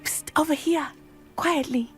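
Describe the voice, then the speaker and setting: A woman voicing a brave, earnest teenage schoolgirl in a video game, with measured, formal wording. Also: sits high, fairly bright tone, soft background music. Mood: hushed, urgent. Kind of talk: video game cutscene acting.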